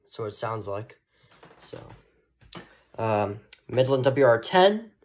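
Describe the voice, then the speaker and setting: Man, hobbyist vlogger, casual showcase, halting, rambling, unscripted. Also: mid-range voice, very animated, darkish tone. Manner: hesitant, halting, rambling delivery. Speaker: hobbyist vlogger showing a weather radio collection.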